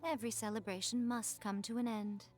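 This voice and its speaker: A woman's voice: fairly high pitch, little pitch movement, a little fast, soft background music, close to an everyday voice. A woman voicing a sly, teasing, worldly fox spirit in an anime game. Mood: knowing, teasing.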